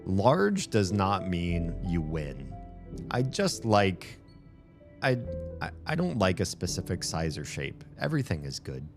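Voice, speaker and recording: A very deep-voiced man talks slowly and loosely to his live-stream audience, wandering from thought to thought and restarting sentences. He records alone in a room at home, and music plays clearly behind him. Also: wildly swooping pitch. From United States. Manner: rambling, chatty, self-correcting. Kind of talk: casual live-stream chat.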